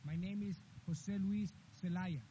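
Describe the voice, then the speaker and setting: A man's voice: high-pitched, fairly even intonation, measured pace, dark tone. A man from Honduras giving an earnest commencement speech with repeated emphatic phrases. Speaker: commencement speaker. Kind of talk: graduation speech. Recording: dull lo-fi with faint hiss.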